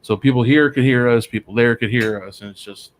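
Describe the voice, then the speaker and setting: A man's voice: medium pitch, steady tone, a little fast. A man hosting a paranormal talk show from a small studio, rambling with lots of fillers and restarts. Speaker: podcast host. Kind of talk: casual chat. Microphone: studio microphone.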